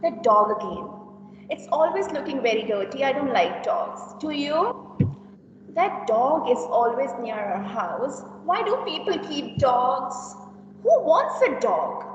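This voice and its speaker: A woman's voice: high-pitched, takes it slowly, exaggerated voice. A woman playing a tactless, gossipy and judgmental young woman in an amateur classroom play. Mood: gossipy, scornful. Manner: exaggerated, animated, giggly reactions. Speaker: teacher acting in a classroom reader's theater. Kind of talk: amateur reader's theater performance. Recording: dull, echoey hall from far off, hum.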